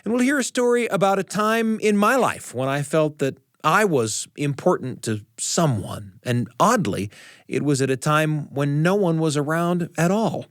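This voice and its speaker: A man's voice: fairly high pitch, lively intonation, moderate pace, dry recording, clean studio sound. A man hosting a radio show, delivering a scripted introduction to stories.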